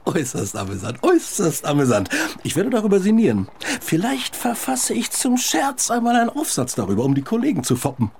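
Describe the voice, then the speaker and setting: A man acting in a radio play as a formal, stuffy, easily affronted gentleman given to scoffing. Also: sits high, wildly swooping pitch, a little fast, gravelly, a little hiss or hum, full character voice. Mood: amused, mocking. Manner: formal, amused scoffing turning indignant.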